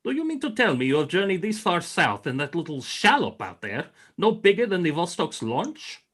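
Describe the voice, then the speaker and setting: A man's voice: on the high side, very animated, normal speed, fairly bright tone, slightly rough, heavily acted. A man playing a courtly Russian frigate commander in a radio play, formal, gracious and grand in manner. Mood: impressed. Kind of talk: radio play acting, 1940s style.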